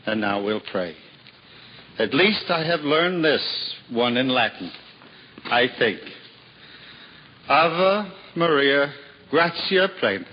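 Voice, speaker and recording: A humble devout juggler. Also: male voice, slow pace, long pauses, a little hiss or hum. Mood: humble, tender. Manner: earnest, self-deprecating, lively swings in pitch. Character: humble simple-hearted male juggler, devout. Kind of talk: 1950s radio drama acting. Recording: dull old radio broadcast with hall reverb and music.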